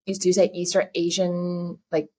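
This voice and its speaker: A woman's voice: lowish voice, completely flat delivery, normal speed, a little mellow, husky. A woman hosting a podcast, asking questions eagerly and a little self-deprecatingly. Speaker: podcast host. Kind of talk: casual interview.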